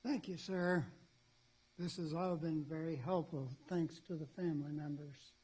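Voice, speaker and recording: A man's voice: fairly high pitch, fairly even intonation, unhurried, darkish tone, husky, low-quality recording. An older man, a retired judge, making brief formal remarks in public.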